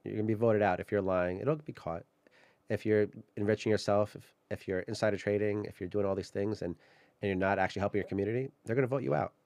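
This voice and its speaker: Very deep-voiced man, quick casual talk. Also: steady tone, darkish tone, no room sound. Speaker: podcast host, political candidate. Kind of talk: casual conversational interview talk.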